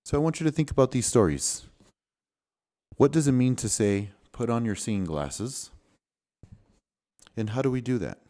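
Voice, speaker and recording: A man's voice: mid-range voice, expressive, a little fast, dark tone. A man reading a storybook aloud and chatting with children as their father, with an encouraging, patient manner.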